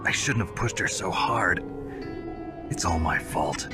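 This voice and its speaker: Low-voiced, world-weary soldier. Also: male voice, measured pace, over music. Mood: anguished. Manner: terse, blunt, brooding. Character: world-weary legendary male soldier, blunt, brooding, self-blaming. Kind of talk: video game cutscene acting.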